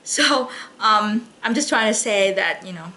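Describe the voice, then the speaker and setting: Slow, bright-voiced woman telling jokes casually. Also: medium pitch, lively intonation, rough recording. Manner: halting, chatty, with false starts and slips. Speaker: library story and joke reader. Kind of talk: casual read-aloud of jokes with personal chat.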